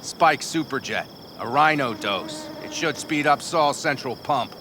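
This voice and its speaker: A man's voice: medium pitch, a little slow, noisy background, lightly acted. A man playing a practical, modest nomad mechanic in a video game, plain-spoken and helpful. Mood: earnest, helpful.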